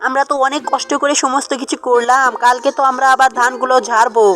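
A woman's voice: high voice, flat delivery, fast, a bit bright, faint music behind. A woman voicing an agreeable, hardworking farmer's wife in a cartoon. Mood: concerned. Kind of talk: amateur cartoon dialogue.

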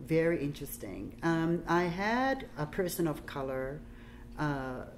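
A woman with a Samoan accent and a low voice speaks as a guest artist at a gallery talk. She talks loosely and chattily, with many fillers. A light hiss sits under her voice. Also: big pitch swings, a little slow. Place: gallery room, indoors.

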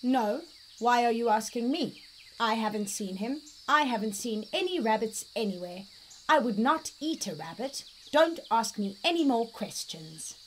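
A woman's voice: on the high side, expressive, unhurried, a little hiss or hum, low-quality recording. A woman voicing a big, polite bear in a children's storybook, plain and earnest. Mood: defensive. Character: big, polite, forlorn bear missing its hat. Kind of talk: children's storybook read-aloud.

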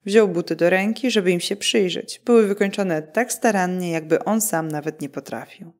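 A woman's voice: lowish voice, normal speed, darkish tone. A woman reading a scripted fairy tale as a bedtime story narrator.

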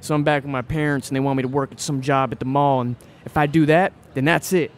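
Casting: man playing earnest small-town youth, around twenty, medium-pitched, eager and folksy, for stage-style audio drama. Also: fairly even intonation, quick pace, faint music behind, lightly acted. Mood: restless, earnest. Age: young adult. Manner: eager, folksy, blunt questioning. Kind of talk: audio play acting before a live audience.

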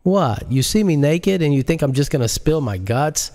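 A man's voice: fairly high pitch, big pitch swings, normal speed, a little mellow, big-room sound, pitched above the performer's own voice. A man voicing a sly, playful woman scientist in an audiobook, teasing and coy.

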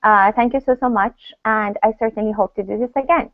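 A middle-aged woman from Iran leads a panel discussion over a video call at home. She talks in a brisk, courteous way and steers the conversation firmly. Her voice comes through a tinny, phone-like call line with a dry, close sound. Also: on the high side, no room sound. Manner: brisk, polite, wraps up firmly. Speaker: moderator. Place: home room, indoors. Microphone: laptop webcam video call.

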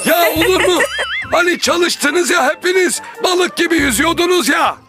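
A man's voice: very high voice, normal speed. A man voicing a patient, loving older grandfather who teaches his grandchildren in a children's cartoon. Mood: puzzled, chiding. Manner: patient, instructive, affectionate. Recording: loud music behind the voice. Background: music.

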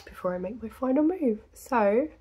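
A woman's voice: fairly high pitch, lively intonation, normal speed, dark tone, slightly rough. Chatty woman with a fraying voice. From United Kingdom. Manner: rambling, chatty, frequent ums and laughs. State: losing her voice, slightly gravelly. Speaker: crafting podcast host. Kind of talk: casual chat to camera. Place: small room in a flat, indoors.

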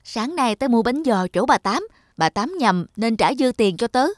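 A woman's voice: fairly high pitch, lively intonation, moderate pace, dry recording, clean studio sound. A woman voicing a young, mischievous and easily flustered schoolboy in a children's cartoon. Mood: excited scheming.

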